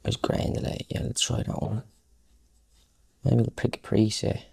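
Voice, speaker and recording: A man's voice: mid-range voice, flat delivery, moderate pace, creaky, a little mellow, slightly rough. A man giving a casual, unscripted music production tutorial, thinking aloud as he works.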